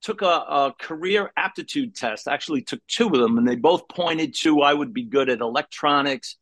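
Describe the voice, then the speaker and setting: A man with a thick Brooklyn accent and a fairly high voice rambles happily through his story as a podcast guest. He cuts himself off and starts phrases over as he goes. The recording is dry and close. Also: moderate pace, no room sound. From New York. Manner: lively, rambling storyteller with self-interruptions. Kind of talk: casual interview storytelling.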